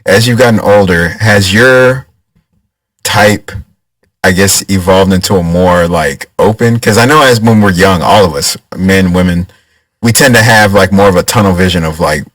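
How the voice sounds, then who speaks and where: Low-voiced laid-back podcast host. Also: male voice, normal speed, a bit bright, no room sound, distant mic, poor audio. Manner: easygoing, laughs often, asks long rambling questions. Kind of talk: casual podcast chat.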